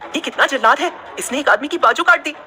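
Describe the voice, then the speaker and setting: A woman voicing a jittery, complaining young woman who stammers and turns shrill when frightened. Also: fast talker, bright, forceful tone, soft background music. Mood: muttering outraged.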